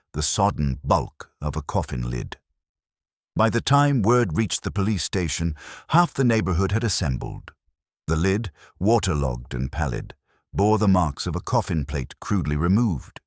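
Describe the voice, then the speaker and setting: A man narrating a scripted radio-style mystery in measured, literary storytelling. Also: low-pitched, no echo, clean studio sound.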